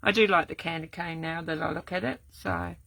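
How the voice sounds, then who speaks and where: Older woman, rambling live craft chat, gravelly croaky voice, bright tone, dry close sound. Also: lowish voice, steady tone, brisk, no echo. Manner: rambling, many ums and restarts. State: voice going croaky. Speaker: card-making crafter. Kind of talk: casual live craft demo. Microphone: camera set up at desk.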